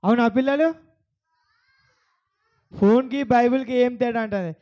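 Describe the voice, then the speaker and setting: A man's voice: extremely high pitch, a little slow, dark tone, lo-fi sound. A man hosting a kids' bible puppet show, asking the children questions over and over.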